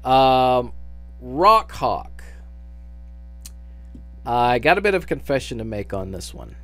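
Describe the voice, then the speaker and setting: A man's voice: medium pitch, big pitch swings, slow pace, fairly bright tone. A man giving an unscripted, casual audition announcement for a fan dub, speaking in a hesitant, rambling way with frequent restarts.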